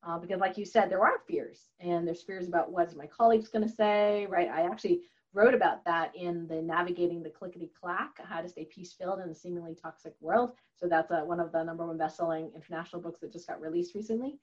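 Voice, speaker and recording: A woman's voice: lowish voice, fairly even intonation, fast talker, few pauses. A woman whose first language is Chinese, speaking as an interview guest in an earnest, chatty way with frequent laughs and fillers. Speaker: guest, holistic doctor and author. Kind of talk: conversational interview answer. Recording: slightly dull, lo-fi audio.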